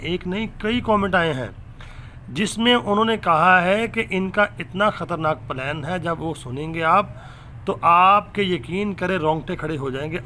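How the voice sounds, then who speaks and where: Man, sharp bright voice, emphatic preacherly delivery, slight background noise. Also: sits high. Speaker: religious vlogger and host. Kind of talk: earnest monologue to camera for viewers.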